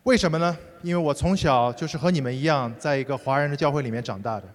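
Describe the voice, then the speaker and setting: A man interpreting a sermon consecutively, rendering each phrase fluently and briskly. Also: a little high. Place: large church hall. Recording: hall reverb.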